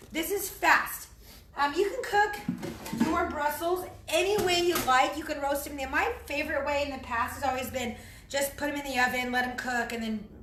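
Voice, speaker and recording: A hoarse woman with a high, bright voice chats loosely through a home cooking video as a fitness trainer. She rambles and clears her throat now and then, and the kitchen sounds echoey around her. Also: a little hiss or hum. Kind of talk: casual cooking vlog to followers.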